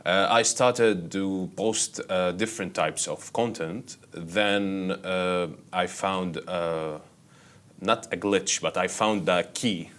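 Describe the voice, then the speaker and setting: Deep-voiced, halting Syrian man. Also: steady tone, a bit bright, clean tone. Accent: Syrian Arabic. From Syria, Damascus. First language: Arabic. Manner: halting, frequent pauses searching for words. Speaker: interview guest, actor and content creator. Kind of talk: casual interview answers.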